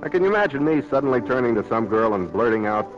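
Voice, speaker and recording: An actor playing a wry, tender, self-doubting bachelor in 1940s radio drama style. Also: male voice, medium pitch, very animated, fast, close to an everyday voice. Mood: self-deprecating. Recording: muffled 1940s radio broadcast, music behind.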